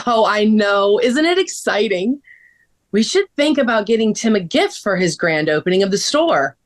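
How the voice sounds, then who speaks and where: A woman playing a bubbly, excitable young woman with a gushing manner in a table read. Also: mid-range voice, moderate pace, lightly acted. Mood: excited.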